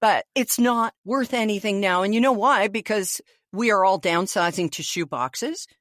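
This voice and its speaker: A woman's voice: mid-range voice, normal speed, fairly bright tone, no echo, studio quality. A middle-aged woman with a Canadian accent co-hosting a podcast, chatting casually in a reflective, slightly wry, conversational way. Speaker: podcast co-host. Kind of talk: casual chat.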